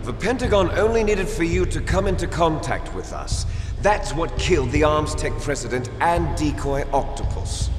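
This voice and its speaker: A man acts out an arrogant villain commander from a game, taunting in a fairly high male voice. The delivery is lively and declamatory, ringing through a long hall reverb over music. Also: moderate pace, close to an everyday voice. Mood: contemptuous revelation. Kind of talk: theatrical video game cutscene acting. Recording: echoey large hall reverb.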